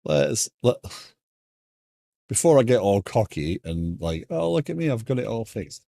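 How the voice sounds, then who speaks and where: A man with a British accent softened by years in America, chatting casually on a coding live stream and thinking aloud in a stop-start way. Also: on the low side, sing-song, a little slow, gravelly, dry recording.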